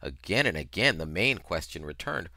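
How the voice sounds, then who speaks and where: A middle-aged man narrating a novel in an expressive, dramatic style, with emphatic, deliberate phrasing on a headset mic. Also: low-pitched, vocal fry, no room sound, studio quality. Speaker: reader of a children's novel.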